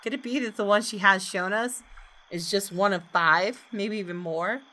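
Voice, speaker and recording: A woman's voice: medium pitch, normal speed, sharp tone. A woman hosting a gaming video, reading visual novel narration aloud with dramatic expression.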